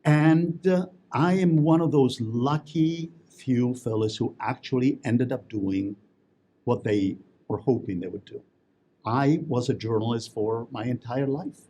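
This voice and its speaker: A man's voice: medium pitch, measured pace, dark tone, slight background noise. A man talking as an interview guest, telling stories in a chatty way with many fillers and restarts. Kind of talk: casual interview conversation.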